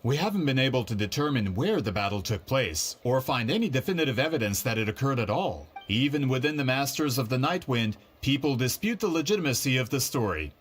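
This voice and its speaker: An actor playing a polite, eager book publisher in a game, courteous and persuasive. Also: male voice, medium pitch, expressive, brisk, hardly any pauses, a little hiss or hum, natural voice. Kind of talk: game cutscene voice acting.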